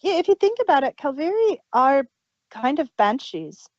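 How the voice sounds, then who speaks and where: A woman with a fairly high voice talks through an audio drama in an earnest, thoughtful way. The sound is dry, with no room echo, and a little dull and muffled. It is casual podcast chat. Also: very animated, normal speed, darkish tone. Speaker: podcast co-host.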